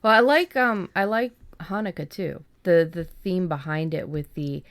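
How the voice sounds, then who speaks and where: Podcast co-host: lower-voiced woman, effusive, loose, rambling talker, recorded dry without room sound. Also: moderate pace, no room sound. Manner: informal, effusive, rambling, mildly sweary. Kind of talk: casual chat.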